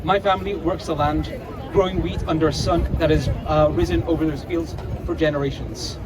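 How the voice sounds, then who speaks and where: A man's voice: on the high side, steady tone. A young man from South Lebanon, earnestly reading a prepared speech at an outdoor rally, sometimes stumbling and apologizing. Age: young adult. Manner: earnest, halting, apologizes for slips. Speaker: student speaker. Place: outdoor city square rally, seated. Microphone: mic on a stand. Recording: outdoor PA with loud crowd and street noise.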